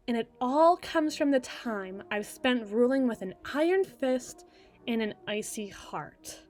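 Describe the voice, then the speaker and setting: A woman voicing a regal, icy evil queen in a scripted ASMR roleplay, speaking in formal, theatrical oratory with deliberate emphasis. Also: fairly high pitch. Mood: cold, brooding.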